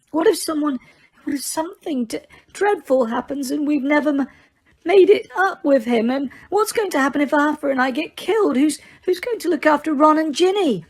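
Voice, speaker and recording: A frightened middle-aged mother, as a woman reader puts her on, crying so hard she stammers over every other word. Her voice rides high and shaky, breaking into sobs between phrases. It is storybook acting, the mother in plain despair.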